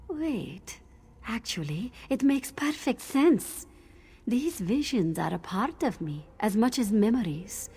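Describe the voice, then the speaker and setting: A woman playing a young cat-woman mystic in a fantasy game, earnest and reflective, sometimes halting. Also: mid-range voice, darkish tone. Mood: uneasy. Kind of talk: dramatic fantasy game acting.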